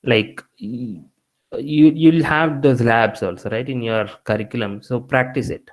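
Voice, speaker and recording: A man's voice: mid-range voice, a little slow, rough recording. A man, a Telugu speaker, giving conversational career advice to engineering students and often restarting his sentences. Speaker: guest speaker.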